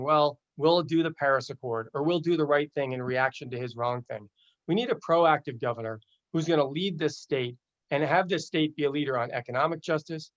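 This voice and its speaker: Man, slightly high voice, candidate for governor, forceful, rallying, dull muffled audio. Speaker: gubernatorial candidate. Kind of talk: campaign statement.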